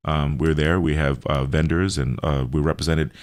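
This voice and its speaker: A man with an extremely low voice and an American accent, recorded close and dry. He speaks as a radio host on health, laying out explanations simply, one step after another. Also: fairly even intonation, normal speed, dry recording. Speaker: radio show host and health center owner.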